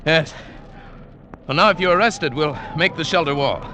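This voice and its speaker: An actor playing a grave, superstitious mountain guide in an old radio drama, speaking formally with music playing behind him. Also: male voice, fairly high pitch, normal speed, sharp tone, slight background noise. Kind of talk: 1940s radio acting.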